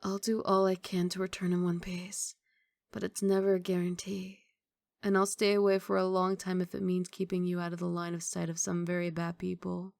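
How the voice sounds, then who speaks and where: Casting: actress as wistful female mafia boss girlfriend for ASMR roleplay. Soft, gravelly, guarded but loving, very dry close sound. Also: fairly low pitch, no room sound. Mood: tender, wistful. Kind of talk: intimate ASMR roleplay monologue.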